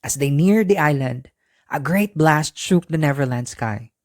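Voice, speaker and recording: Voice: mid-range voice, expressive, unhurried, a little mellow, dry recording, clean studio sound. A storyteller narrating a scripted bedtime story for children.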